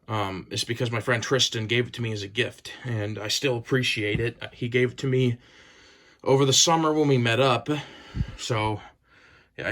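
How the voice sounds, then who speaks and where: A man's voice: mid-range voice, fairly bright tone. A man giving a casual, unscripted show-and-tell as a hobbyist collector, in a hesitant, rambling, plain-spoken way. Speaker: hobbyist collector presenting weather radios.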